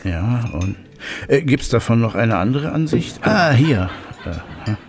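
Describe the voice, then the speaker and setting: Actor as an excitable, learned male professor, music behind. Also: medium pitch, unhurried. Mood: fascinated. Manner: hesitant humming and muttering, exclamatory. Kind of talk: German audio drama acting.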